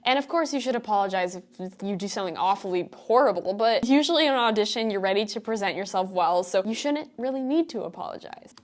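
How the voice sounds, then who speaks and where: A woman's voice: mid-range voice, animated, fast, few pauses. A young woman in her twenties, an acting student, giving casual and emphatic audition advice to camera, with lots of "like" and asides. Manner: chatty, emphatic, full of "like" and asides. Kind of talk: casual tutorial vlog to camera.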